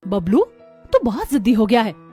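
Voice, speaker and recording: A woman voicing homely village women of one family in a cartoon story, with expressive talk. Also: a little high, moderate pace, husky, faint music behind. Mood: scolding, reassuring. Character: village women of a family, daughters-in-law and mother-in-law. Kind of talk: Hindi cartoon story dialogue.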